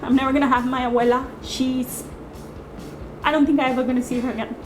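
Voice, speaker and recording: A woman's voice: high-pitched, little pitch movement, fast, darkish tone, poor audio. A woman from El Salvador, a cooking show contestant, talking earnestly and emotionally in an interview. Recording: music bed underneath.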